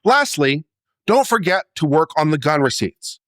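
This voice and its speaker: A man reading a letter aloud as a dramatic performance, in a theatrical, expressive manner. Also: on the high side, moderate pace, dry recording, studio quality. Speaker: reader of a letter.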